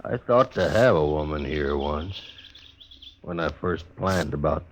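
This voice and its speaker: An American actor playing a lonely, resigned stage station keeper of few words in a 1950s Western radio drama. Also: male voice, very deep voice, animated, measured pace. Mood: wistful, resigned. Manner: laconic, plainspoken. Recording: faintly noisy old radio recording.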